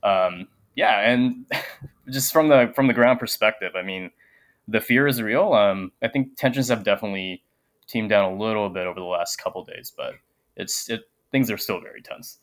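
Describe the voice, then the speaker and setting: Low-voiced young man, many ums. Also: moderate pace. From Japan. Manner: soft-spoken with many filler ums. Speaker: guest, teacher. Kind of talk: casual interview conversation.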